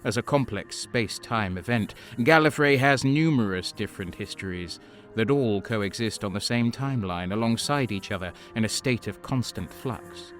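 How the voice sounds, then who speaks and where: A man's voice: on the low side, normal speed, fairly bright tone, faint music behind, natural voice. A man playing a solemn, all-knowing transcendent being, narrating an audio drama in a grave, portentous, scornful way. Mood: solemn, disdainful.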